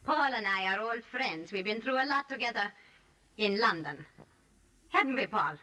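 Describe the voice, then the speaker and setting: Casting: domineering female choreographer in vintage radio play; bright, cutting voice, taunting, slightly distant from the mic. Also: medium pitch, expressive, normal speed. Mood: cool taunting. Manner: imperious, taunting. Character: domineering, vengeful woman ballet choreographer. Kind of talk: 1940s radio acting.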